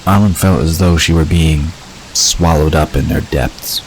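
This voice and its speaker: A man with an extremely deep voice narrates scripted fantasy fiction. He reads as the storyteller of an audio drama, with faint nature ambience and running water behind him. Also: a little slow, a little hiss or hum, poor audio.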